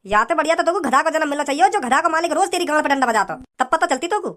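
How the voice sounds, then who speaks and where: A man playing a scolding, preachy village father in a comedy cartoon, with a pitched-up voice. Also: lively intonation, rapid-fire, bright, forceful tone, full character voice. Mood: angry, threatening. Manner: preachy, repetitive, hectoring.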